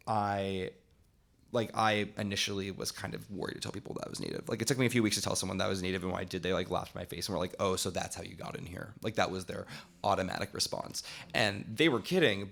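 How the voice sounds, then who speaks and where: A man's voice: on the low side, creaky, fairly bright tone. A young man, a college student, talking on a panel in a rambling way with many fillers. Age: young adult. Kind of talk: casual panel discussion.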